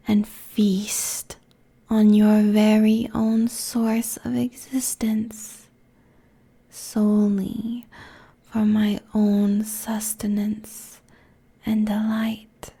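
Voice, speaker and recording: A woman's voice: medium pitch, warm tone, exaggerated voice. A woman playing a seductive, cruel succubus queen in an intimate ASMR roleplay, whispering haughtily close to a binaural microphone. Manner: breathy, slow, condescending whispering.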